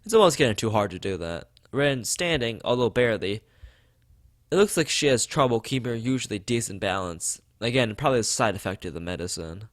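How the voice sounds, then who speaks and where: Low-voiced man reading a game aloud. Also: lively intonation, a little fast. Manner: stumbles over the text and rereads words. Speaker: let's play host reading a visual novel aloud. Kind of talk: read-aloud narration with side comments.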